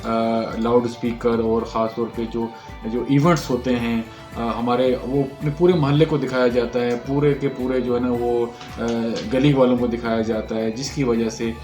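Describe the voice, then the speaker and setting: A man with a Pakistani accent speaking as a news host, giving halting, unscripted commentary to viewers and searching for his words. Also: mid-range voice, flat delivery, darkish tone. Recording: echoey room with music underneath. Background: background music.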